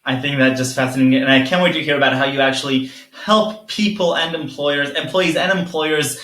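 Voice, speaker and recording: Bright-voiced enthusiastic male host. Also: a little high, fairly even intonation, fairly quick, echoey room. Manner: enthusiastic, repeats words when starting. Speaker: podcast host. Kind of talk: interview hosting.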